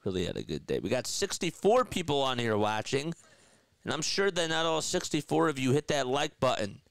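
A man's voice: medium pitch, sing-song, brisk, creaky, a bit bright, no room sound. Philly-accented man calling baseball on a headset. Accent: Philadelphia. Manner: chatty, laughs often, reads viewer comments aloud. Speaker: play-by-play livestream host. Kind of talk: live baseball commentary with chat reading. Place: small indoor room in front of a desk setup. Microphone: headset with boom mic.

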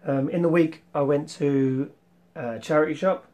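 A young man hosting a casual retro collection vlog, rambling with ums and clearing his throat, his voice slightly worn from losing it. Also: a little high, fairly even intonation, a little slow, a little mellow. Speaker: retro game collector vlogger. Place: small room full of toy shelves, indoors.

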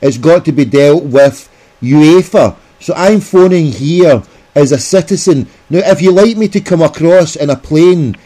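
A man's voice: on the high side, unhurried, warm tone. A man with a Scottish accent making an insistent complaint as a caller on the phone. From Scotland.

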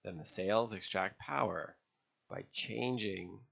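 A man narrating an instructional lesson as an instructor, explaining step by step in a measured way with pauses. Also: lowish voice, gravelly, a bit bright. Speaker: sailing instructor. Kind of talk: instructional narration over drawn diagrams.

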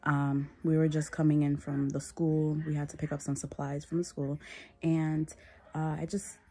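A woman in her thirties chatting casually to her phone camera at home as a mom vlogger, relaxed and full of ums. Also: deep voice, moderate pace, husky. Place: small home room indoors. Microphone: phone held at arm's length.

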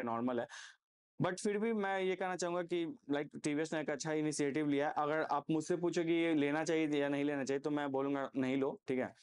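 A man's voice: fairly high pitch, smooth. A man with an Indian accent giving a casual, unscripted product review, explaining point by point and often checking in with the listener. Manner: explanatory, frequently checks in with "okay". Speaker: motorcycle gear reviewer.

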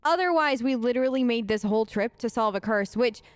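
A woman's voice: on the high side, lively intonation, a little fast, no room sound. A woman doing casual, improvised role-play game commentary as a let's play host, chatty, earnest and rambling.

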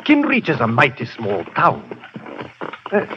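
Folksy old Scottish villager. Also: male voice, medium pitch, huge pitch swings, a little slow. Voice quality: folksy dialect speech. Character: gruff old Scottish villager, then a butler. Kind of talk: 1930s radio drama acting. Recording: noisy, dull 1930s radio broadcast.

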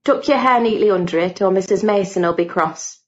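A woman voicing a sensible housemaid who speaks calmly and gently scolds her colleague. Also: mid-range voice, normal speed, close to an everyday voice. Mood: patient firm. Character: sensible older housemaid woman, patient and firm. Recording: dull lo-fi audiobook recording.

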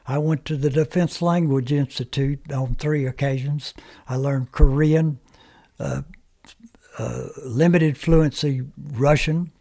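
Older veteran telling stories, halting. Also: male voice, medium pitch, steady tone, gravelly, dark tone. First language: Comanche. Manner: halting, plain-spoken storyteller. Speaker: guest, veteran. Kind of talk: reminiscing interview talk. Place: small podcast studio. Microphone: studio mic on stand, headphones.

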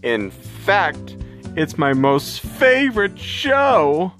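A performer voicing an excitable, childlike cartoon superfan who stretches words out gleefully. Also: mid-range voice, extremely slow, faint music behind, heavily acted.

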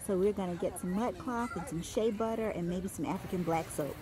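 A woman's voice: fairly low pitch, fairly quick. A woman chatting enthusiastically as she vlogs a walk through an outdoor flea market, talking into a phone held at arm's length. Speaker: travel vlogger. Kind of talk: casual vlog walk-through. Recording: outdoor phone audio with crowd ambience.

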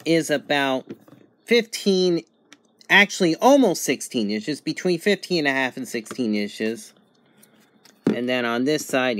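A man giving a casual unboxing and review narration in a halting, methodical way, in short phrases. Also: mid-range voice, fairly bright tone. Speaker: product reviewer.

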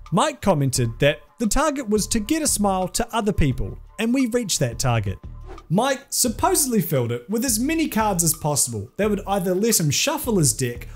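A young man hosting a scripted comedic commentary video, sarcastic and crude in his jokes. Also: high-pitched. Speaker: video essay host. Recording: faint background music.

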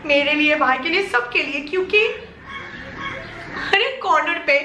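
A young woman vlogging casually and emotionally as she walks a handheld camera through the bare rooms of an old flat. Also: high-pitched, measured pace, background noise. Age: young adult. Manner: chatty, emotional, mixes in English phrases. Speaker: YouTube vlogger. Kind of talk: casual home tour vlog.

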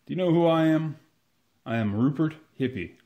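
A man's voice: fairly high pitch, lively intonation, normal speed, narrow, tinny audio. A man playing a sentimental, easily offended elderly landowner, plain-spoken and courtly, in an old-time radio comedy. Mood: indignant.

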